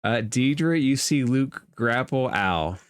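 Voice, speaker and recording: Guy running a tabletop rpg, takes his time, smooth clean voice, really dry sound. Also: mid-range voice, lively intonation, no echo. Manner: measured, explaining rules and addressing players. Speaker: game master. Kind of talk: narrating a tabletop roleplaying game.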